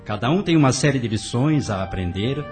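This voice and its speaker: An actor with a Brazilian accent playing a wise, firm spirit doctor in a radio soap, didactic and authoritative. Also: male voice, medium pitch, animated, fairly quick, music in the background, lightly acted. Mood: firm, instructive. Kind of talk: radio soap opera acting.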